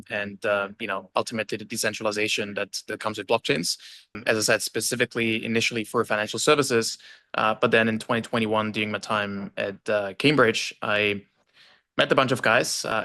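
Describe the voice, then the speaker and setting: A man's voice: on the low side, sharp tone, dry recording. A young man from Austria, a podcast guest on a video call, talking fluently with many fillers and tag questions. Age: young adult. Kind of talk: casual interview talk. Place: indoor room with plant, video call.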